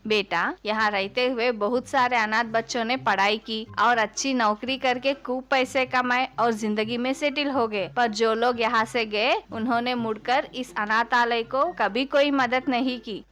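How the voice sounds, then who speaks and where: A woman's voice: on the high side, fairly bright tone. A woman playing a kindly, grateful, motherly orphanage warden in a story, speaking tenderly.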